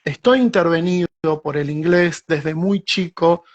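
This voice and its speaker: A man's voice: on the high side, highs cut off. A middle-aged Argentine man with an Argentine Spanish accent, a guest writer answering thoughtfully in a casual interview over a video call, often restarting his phrases. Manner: reflective, with frequent restarts and slow stretches.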